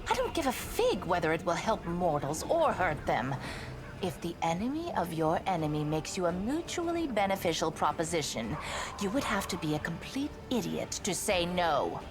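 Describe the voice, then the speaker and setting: An actress playing a haughty, seductive demon lord in a video game, scheming and mocking, with a theatrical drawl. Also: female voice, fairly low pitch, sing-song, moderate pace, over music. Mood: scornful.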